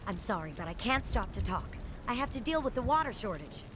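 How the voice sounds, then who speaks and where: A woman's voice: mid-range voice, very animated, a little fast, background noise, natural voice. A woman playing a curt, guarded colonist in a science fiction game, serious and clipped. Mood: curt, stressed.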